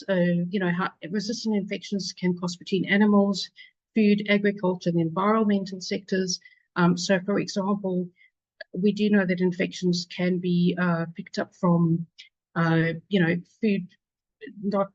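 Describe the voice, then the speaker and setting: A woman's voice: on the low side, darkish tone, no echo, muffled recording. A woman with a South African accent presenting her charity in a webinar, hesitant with many fillers. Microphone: video call.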